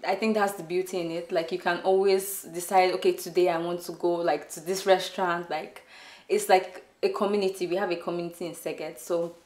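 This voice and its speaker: A young woman, chatting warmly as an interview guest with lots of "like" fillers. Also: fairly low pitch, fairly even intonation, brisk, roomy sound. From Nigeria. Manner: warm, upbeat, many "like" fillers. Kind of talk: casual interview answers. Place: indoor studio on a sofa. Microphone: off-camera shotgun mic.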